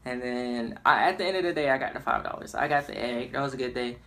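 A man's voice: medium pitch, fast. A young man chatting casually and informally in a rambling storytelling style, as a gaming YouTuber. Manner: informal, rambling, acts out quotes excitedly. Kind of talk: casual storytelling over gameplay.

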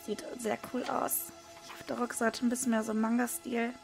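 A hoarse woman talks through an unboxing at her desk, her voice rough and gravelly. She sits a little higher than most women, with soft music faint underneath. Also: normal speed. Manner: relaxed, with frequent hesitation fillers. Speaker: card unboxing video host. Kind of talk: casual unboxing commentary. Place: indoors at a desk, hands over table. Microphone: small camera-mounted microphone.

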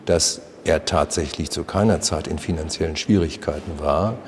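Man in his sixties, deep voice, insistent lawyer interview, light background noise. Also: normal speed. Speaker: defence lawyer. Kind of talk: interview statement. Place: courthouse corridor. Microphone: handheld reporter microphone.

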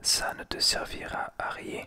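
A man softly whispering the lines of a cruel old child-eating witch in a storybook tale. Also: measured pace. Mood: commanding. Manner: whispered throughout.

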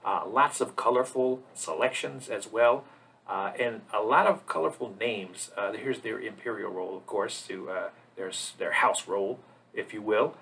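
Man, travel vlog host, creaky husky voice, mid pitch, rambling casual narration, hesitant delivery. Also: sharp tone. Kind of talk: casual narrated food tour.

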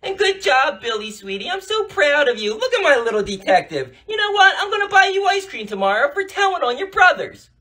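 A woman's voice: sits high, big pitch swings, brisk, few pauses, pushed and bright, exaggerated voice. A woman playing a strict but doting mother of boys in a family comedy skit, speaking emphatically. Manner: emphatic, gushing or scolding.

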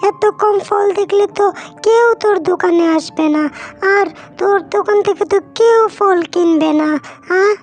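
A woman plays a scornful, nagging market woman in a fairy-tale cartoon. Her voice is extremely high, and music plays clearly behind her. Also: moderate pace, a little mellow.

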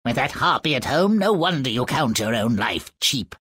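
An actress playing a spiteful, vengeful old witch fairy in a video game, husky, menacing and drawn-out. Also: extremely low pitch, very animated, fairly bright tone, no echo, heavily acted. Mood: vengeful, gloating. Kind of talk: theatrical video game acting.

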